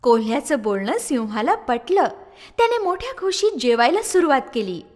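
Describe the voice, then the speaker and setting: A woman narrating a children's moral story in an expressive, sing-song storytelling manner. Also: high voice, moderate pace. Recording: long reverb.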